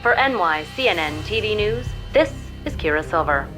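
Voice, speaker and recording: An American actress playing a composed television news reporter in a video game, reading formally and crisply. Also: female voice, medium pitch, expressive, normal speed, lightly acted. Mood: serious. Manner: crisp newsreader delivery. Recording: faint music behind the voice.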